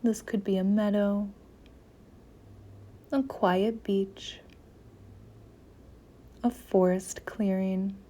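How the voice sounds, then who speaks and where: A woman leading a guided meditation in a soft, gentle, soothing voice, with phrases often trailing into near-whispers. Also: mid-range voice, flat delivery, drawn-out words, pauses often.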